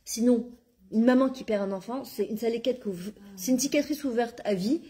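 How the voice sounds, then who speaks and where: Woman, religious class, emphatic and exclaiming, higher voice, mellow tone. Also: fairly quick. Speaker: religious teacher.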